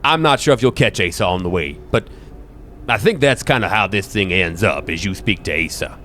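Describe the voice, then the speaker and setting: A man's voice: low-pitched, animated, fairly quick, bright, forceful tone. A man acts out a genial old bookseller for a tabletop game. His voice swings widely up and down as he rambles, hesitates and apologizes in folksy exclamations. There is a faint noise behind him. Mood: genial, cryptic.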